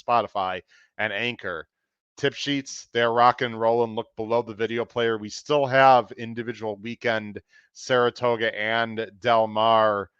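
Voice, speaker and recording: A man hosting a horse racing podcast, a little hoarse, running through plugs and sponsor reads in an upbeat, folksy way. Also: lowish voice, a bit bright, dry recording, highs cut off. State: slightly hoarse. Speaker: podcast host.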